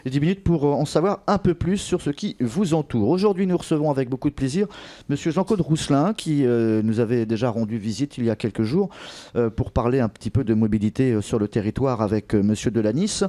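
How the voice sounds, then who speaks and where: A man hosting a local radio interview, speaking in halting phrases with drawn-out pauses. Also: mid-range voice, dark tone. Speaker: radio host interviewing a guest.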